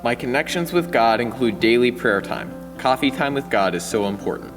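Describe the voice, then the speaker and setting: A man with a mid-range voice reads written testimonials aloud. Loud plucked guitar music plays behind him. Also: medium pitch, expressive, normal speed. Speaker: church team member reading quotes.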